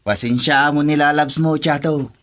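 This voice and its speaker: A man's voice: a little high, fairly even intonation, normal speed, fairly bright tone, no echo, natural voice. An actor playing a teasing, wisecracking henchman sidekick in an Ilocano radio drama.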